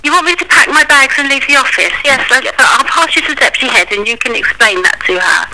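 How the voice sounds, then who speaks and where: Bright-voiced polite woman on the phone. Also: high-pitched, quick pace, rough recording. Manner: polite, puzzled, keeps offering to transfer the call. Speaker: school personal assistant. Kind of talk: phone call. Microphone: office phone.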